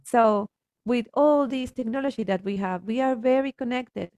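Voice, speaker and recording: Casting: Argentine-accented woman, native Spanish speaker, earnest coaching talk, mid pitch, gravelly fry, dry close sound. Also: normal speed, darkish tone, dry recording. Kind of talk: reflective coaching conversation.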